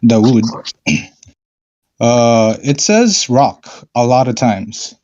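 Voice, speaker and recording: A man calling into an online discussion, speaking hesitantly with many fillers and frequent throat clearing. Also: medium pitch, sing-song, very slow, a little mellow, lo-fi sound. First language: not Arabic. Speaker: caller. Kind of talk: casual debate call-in.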